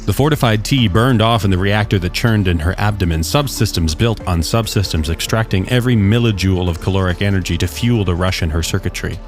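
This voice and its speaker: A man's voice: low voice, fairly quick, few pauses, faint music behind. A man reading his own science fiction short story as a scripted audiobook narration. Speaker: author and narrator of short stories.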